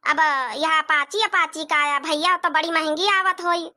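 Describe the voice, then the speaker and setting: Casting: woman as coaxing cartoon village hostess, extremely high, piercingly bright, repetitive, pleading with wedding guests. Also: fairly even intonation, normal speed, full character voice. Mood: dismayed. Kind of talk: amateur comedy cartoon dialogue.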